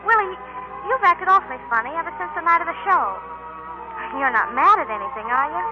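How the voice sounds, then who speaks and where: A woman's voice: very high voice, animated, fairly quick, music in the background. An actress playing an earnest, caring teenage girl in a 1940s radio play, concerned and coaxing. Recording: dull lo-fi 1940s radio recording.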